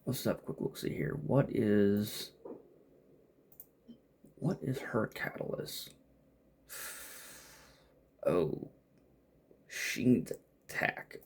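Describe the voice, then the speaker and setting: A man's voice: on the low side, lively intonation, drawn-out words, pauses often, husky. A man giving casual, off-the-cuff gameplay commentary, muttering and thinking aloud to himself as he plays. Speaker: gamer playing and commenting.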